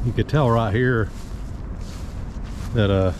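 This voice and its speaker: A middle-aged man, talking casually and haltingly as he walks outdoors with a handheld camera. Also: medium pitch, fairly even intonation, a little mellow. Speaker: lawn care worker vlogging. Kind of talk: casual rambling walk-and-talk vlog. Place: outdoors in a hotel parking lot and slopes. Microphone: action camera held at arm's length. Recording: noisy outdoor recording with traffic.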